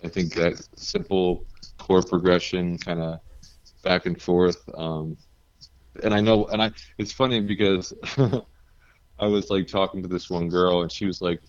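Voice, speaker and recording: A low-pitched, deep-voiced man from New Jersey, chatting as a guest and telling stories with easy laughs. The audio is dull and a bit muffled, with a dry, close sound. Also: flat delivery, measured pace, dry recording. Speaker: guest musician. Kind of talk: casual interview chat.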